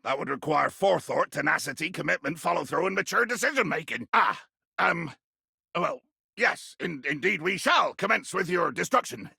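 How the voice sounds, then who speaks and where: Raspy, bombastic little sea captain. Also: male voice, a little high, lively intonation, moderate pace, bright, forceful tone, no echo, clean studio sound, exaggerated voice. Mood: exasperated disdain, then flustered. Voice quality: raspy, gravelly. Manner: bombastic, theatrical, archaic nautical insults and sudden roars. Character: tiny, grandiose, furious sea captain trapped in a glass bottle. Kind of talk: video game character acting.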